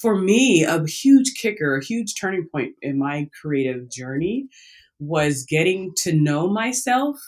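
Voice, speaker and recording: A man's voice: fairly high pitch, sing-song, measured pace. A man speaking as an interview guest, explaining personal ideas conversationally with a mild occasional stutter, repeated words and frequent check-ins. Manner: repeats words, checks in with "right" and "you know". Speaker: interview guest, teacher and coach.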